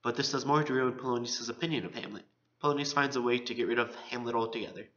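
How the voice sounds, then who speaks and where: Male college student reading a scripted literary presentation, forceful bright voice, dull lo-fi sound. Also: mid-range voice, fast.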